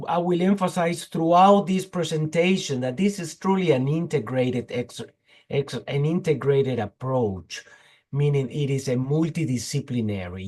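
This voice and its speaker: A man in his fifties, hoarse from a cold, giving an informal technical talk over a video call, halting with many fillers. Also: mid-range voice, darkish tone. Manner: halting, frequent fillers and restarts. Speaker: guest speaker, petroleum geologist.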